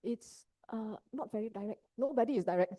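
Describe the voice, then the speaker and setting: A woman's voice: fairly high pitch, fairly quick, warm tone, dry recording, lo-fi sound. A woman from Indonesia, a psychologist giving a workshop talk on mental health, often checking in with her listeners as she explains.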